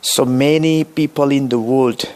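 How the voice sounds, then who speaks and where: Man, Indonesian accent, middle-aged, unhurried, halting, self-correcting, vlog address. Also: mid-range voice, steady tone, darkish tone. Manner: slow, halting speech with many uh pauses and self-corrections. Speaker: vlogger. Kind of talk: direct-to-camera vlog address.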